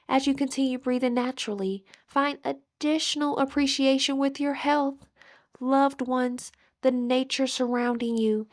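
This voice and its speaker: Slow, high-voiced woman guiding meditation. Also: darkish tone. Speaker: guided meditation instructor. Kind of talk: guided meditation narration.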